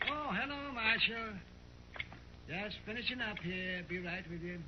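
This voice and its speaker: A man's voice: high voice, expressive, a little slow. An actor playing a folksy, wry frontier town doctor in a 1950s radio western, muttering as he works. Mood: wry, busy. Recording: muffled, faintly noisy 1950s radio recording.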